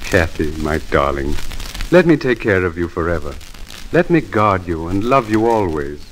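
A man playing a gentle, devoted gentleman suitor, tender and earnest, in old radio drama style. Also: deep voice, sing-song, normal speed, a little hiss or hum. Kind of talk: 1930s-40s radio acting.

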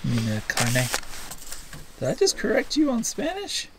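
Gravelly man chatting from off mic. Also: high voice, moderate pace, far from the mic, background noise. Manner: relaxed, joking remarks. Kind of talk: casual chat. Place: outdoors at night by a camper.

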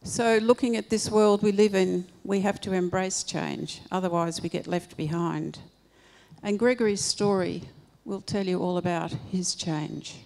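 An older woman interviewing a guest on a convention stage and reading aloud, with a clear, deliberate delivery into a handheld mic. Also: on the low side, a little mellow.

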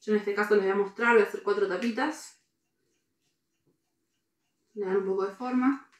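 A woman's voice: mid-range voice, steady tone, normal speed. Young Argentine woman demonstrating, echoey kitchen. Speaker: cooking presenter. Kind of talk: step-by-step recipe demonstration. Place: kitchen, indoors. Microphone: camera a few steps away. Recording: echoey room.

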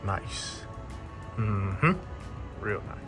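Chill guy vlogging outside, low voice, slangy casual talk, music loud in the back. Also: drawn-out words. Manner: laid-back, slangy, repetitive. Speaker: travel vlogger. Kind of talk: casual vlog narration to camera. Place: outdoors on a city rooftop. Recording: loud background music, outdoor city rooftop.

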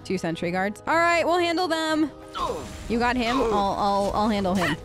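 A woman's voice: on the high side, animated, normal speed, loud music behind the voice. A woman hosting a role-play let's play, chatting casually in character and musing aloud as she goes. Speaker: let's play host, role-playing her game character. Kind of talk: casual in-character game commentary.

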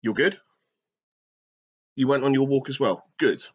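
A man's voice: mid-range voice, a little slow, highs cut off. A man in his thirties hosting a kids' activity video from a small brick-walled room, talking back to a silent toy and joking as he explains. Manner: jokey, teacherly, talks back to a silent toy. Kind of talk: playful talk to a toy puppet. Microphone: webcam in front of him.

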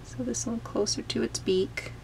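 A woman art teacher calmly talking through a step-by-step painting tutorial as she paints at a table. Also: on the high side, a little hiss or hum, rough recording. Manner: gentle, unhurried explaining while painting. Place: home studio, overhead camera on painting table.

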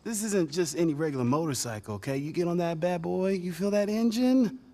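An actor with an American accent playing an excitable, friendly, protective young man in a video game drama. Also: high-pitched, fairly quick. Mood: excited, joking. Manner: animated, informal. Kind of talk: naturalistic video game cutscene acting.

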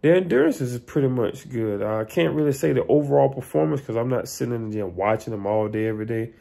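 A man in his thirties lies back on his living-room couch and talks into a phone held at arm's length. His voice is heavily gravelly and husky, with a dark, mellow tone. He rambles easily, filling his talk with "man" and "um". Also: medium pitch, quick pace. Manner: relaxed and rambling, lots of "um" and "man", lying back. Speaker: vlogger and fitness cook. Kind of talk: casual chat.

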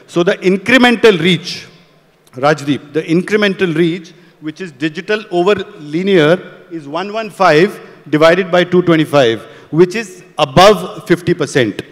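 A man on a conference panel runs quickly through charts and audience figures, stumbling now and then on fillers. His voice sits a little high. It reaches us through a handheld mic in a large, reverberant hall. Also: fairly bright tone. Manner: rapid, data-heavy delivery with fillers. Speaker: panelist presenting audience data.

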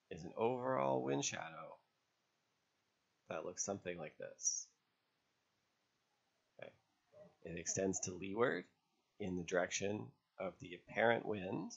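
A man narrating an instructional lesson as an instructor, explaining step by step in a measured way with pauses. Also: low-pitched, gravelly, breathy edge, lo-fi sound. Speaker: sailing instructor. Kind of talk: instructional narration over drawn diagrams.

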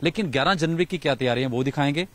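A man's voice: on the high side, rapid-fire, fairly bright tone. A man, reporting and interviewing as a sports journalist outdoors into a handheld microphone. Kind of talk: on-location news reporting and interviewing. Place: outdoors in a large empty cricket stadium.

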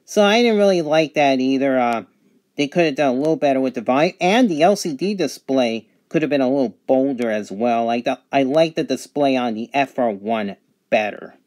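A man giving a casual, plain-spoken product review in short, simple statements. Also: mid-range voice. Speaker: gadget reviewer.

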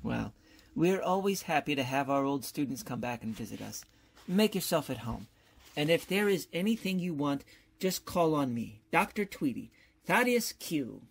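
A man's voice: fairly high pitch, big pitch swings, moderate pace, creaky, breathy edge, heavily acted. A man playing a courtly, bumbling, genial college professor, polite and slightly pompous, in old-time radio comedy style.

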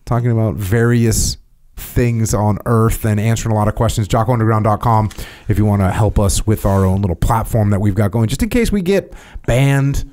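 A middle-aged man hosting a podcast in a studio, talking in a dry, unhurried way. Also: lowish voice, dark tone. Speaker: podcast host. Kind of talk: casual podcast chat. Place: dark studio, indoors. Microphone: studio mic on boom arm, headphones.

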